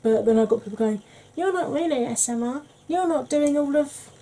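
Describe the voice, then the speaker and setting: A woman in her forties with a Welsh-tinged northern English accent, talking slowly and softly with wry humour while doing her makeup. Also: high voice, animated. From Wales and Yorkshire. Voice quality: soft-spoken, slow, wry. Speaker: makeup vlogger. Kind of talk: soft-spoken makeup tutorial chat.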